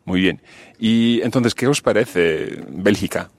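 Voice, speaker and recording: Low-voiced man hosting an interview. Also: slow, no room sound. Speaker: host. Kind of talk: podcast interview. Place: Strasbourg.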